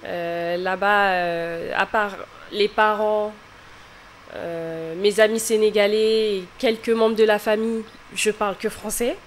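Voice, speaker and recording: A woman's voice: mid-range voice, fairly even intonation, slow, slight background noise. Hesitant young woman singer. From France. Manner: hesitant, soft-spoken, trailing off. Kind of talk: interview answers.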